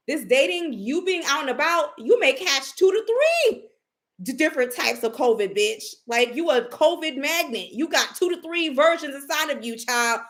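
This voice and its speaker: Brash, profane high-voiced woman. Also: a bit bright. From Haitian family. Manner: brash, profane, sassy, emphatic. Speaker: psychic reader. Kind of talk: casual tarot reading chat.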